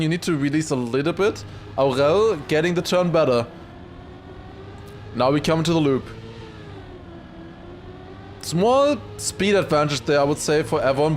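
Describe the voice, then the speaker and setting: A man livestreaming excited esports commentary mixed with casual chat, raising his voice to a shout at big moments. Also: on the high side. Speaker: esports watchparty streamer and commentator.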